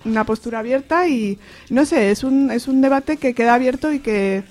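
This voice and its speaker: A woman's voice: on the high side, moderate pace, darkish tone. A woman with an accent from Spain reviewing informally on the radio, repeating phrases and acting out quotes as she retells. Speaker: comics reviewer on a radio show.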